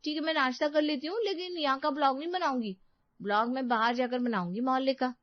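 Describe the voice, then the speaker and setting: A woman voicing an eager, vain and bossy newlywed vlogger in an animated moral story, showy and insistent. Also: high-pitched, fast, dry recording, dull audio, lightly acted. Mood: demanding.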